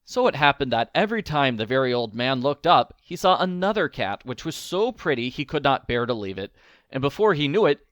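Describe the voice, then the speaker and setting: A man reading a children's storybook aloud in an expressive narrating style. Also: medium pitch, dry recording, studio quality. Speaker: storybook reader.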